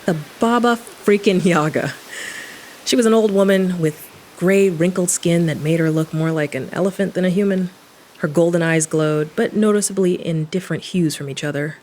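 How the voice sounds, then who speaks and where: An irreverent occult-investigator heroine voiced by an actress tells her tale in an audio drama. Her voice sits fairly low, sardonic and conversational, with clear ambient sound behind it. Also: normal speed, darkish tone, a little hiss or hum, lightly acted, poor audio. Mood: wry, descriptive. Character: wry, irreverent female adventurer and occult investigator. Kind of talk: first-person audio drama narration.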